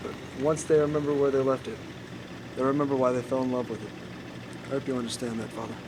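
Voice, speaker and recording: A man acting as a gentle, forgiving Christ-like son, with an earnest, patient way of talking in film dialogue. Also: mid-range voice, little pitch movement, fast, noisy background, natural voice. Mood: earnest, pleading.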